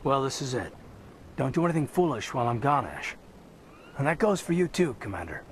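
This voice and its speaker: A dutiful young lieutenant played by a man in a game cutscene. The medium-pitched voice rises and falls expressively, earnest and respectful, over faint ambient noise. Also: sing-song, brisk, lightly acted. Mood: sincere, hesitant. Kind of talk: video game cutscene acting.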